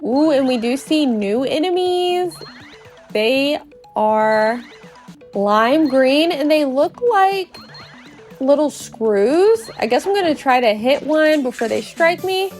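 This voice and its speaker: A woman hosting a narrated video game playthrough, playful and expressive with cutesy exclamations, with music behind her. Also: sits high, slow. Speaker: podcast host and video game player. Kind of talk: playful narrated let's play, storytelling.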